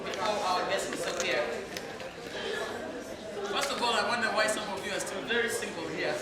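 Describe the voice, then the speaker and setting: A woman's voice: moderate pace, recorded from a distance. A Ugandan woman hosts a hotel conference with a big, playful voice that bounces around a very echoey hall. She sounds set back from the mic, and a large crowd murmurs behind her. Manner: booming, playful, calls out to the room. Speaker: event emcee. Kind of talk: loud conference hosting with audience. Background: crowd of attendees.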